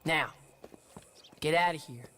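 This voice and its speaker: A man's voice: fairly high pitch, takes it slowly, sharp tone, slight background noise. A male performer playing a cocky, taunting street urchin boy in a video game, drawling with mock politeness. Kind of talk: cinematic video game acting.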